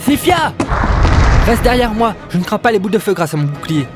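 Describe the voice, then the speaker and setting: A man's voice: sits high, big pitch swings, fairly quick. A man playing an earnest, protective mercenary leader in an amateur audio drama, casual and sincere. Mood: urgent, protective. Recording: faint music behind the voice.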